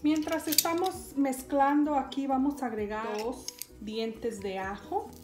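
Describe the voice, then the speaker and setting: High-voiced woman. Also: unhurried, music in the background. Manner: calm step-by-step instruction, addressing viewers. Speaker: cooking show host. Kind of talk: home cooking demonstration.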